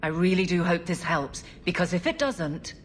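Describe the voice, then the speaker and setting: Woman acting dutiful guard captain woman, lowish pitch, firm, measured, earnest, video game dialogue, noisy ambience. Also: animated, natural voice. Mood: dutiful, concerned. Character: stern, dutiful female captain of the city guard.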